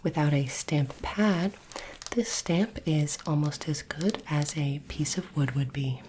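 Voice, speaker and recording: A woman playing a polite, curious alien interviewer in an ASMR roleplay, speaking formally and deliberately in a soft, breathy whisper. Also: low voice, slight background noise. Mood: measured, curious. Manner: slow, deliberate, formal phrasing.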